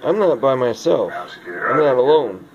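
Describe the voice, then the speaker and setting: A man filming in his dark house, talking softly and friendly to orbs he hopes are there, his voice a little hoarse. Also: medium pitch, sing-song, unhurried, crowd noise. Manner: talks softly to unseen orbs, friendly greetings. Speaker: vlogger filming orbs at home. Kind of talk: casual talk to the camera. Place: dark rooms of a house, indoors. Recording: dull lo-fi camera audio with noise.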